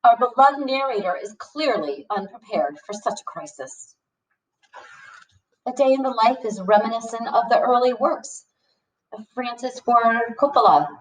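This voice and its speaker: A woman's voice: on the high side, animated, moderate pace, a bit bright. A woman reading as a sarcastic, melodramatic schoolboy, mock-grand in a storybook read-aloud. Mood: mock-dramatic. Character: sarcastic, melodramatic schoolboy writing reviews and letters. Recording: distant microphone.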